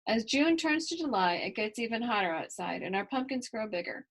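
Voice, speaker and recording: A woman's voice: on the high side, expressive, a little fast. A woman reading a children's story expressively, with chatty asides to young listeners. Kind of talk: expressive picture-book read-aloud with chatty asides to children.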